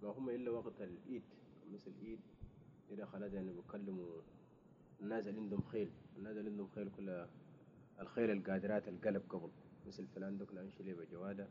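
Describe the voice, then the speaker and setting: A man interviewing in a casual conversation, talking quickly with frequent restarts. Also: sits low, steady tone. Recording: dull lo-fi recording with faint noise.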